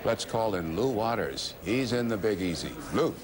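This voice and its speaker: Male anchor, middle-aged, low voice, measured delivery over music. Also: crowd noise. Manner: measured, steady delivery with fillers. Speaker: news anchor. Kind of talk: live broadcast commentary.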